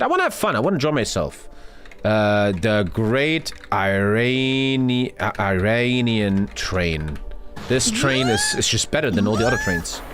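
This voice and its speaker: Loud swearing man with wildly jumping pitch. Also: a little hiss or hum. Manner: loud, swearing, jumpy rants to chat. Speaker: streamer. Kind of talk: live game stream commentary. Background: game music.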